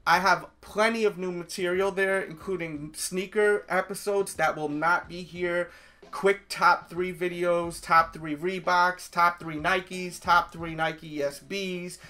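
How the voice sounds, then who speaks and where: A man's voice: sits high, fairly even intonation, takes it slowly, bright, forceful tone. A man with a New York accent, chatting casually and enthusiastically to his viewers as a sneaker YouTuber. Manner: chatty, enthusiastic, rambling with fillers. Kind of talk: casual vlog talk to viewers.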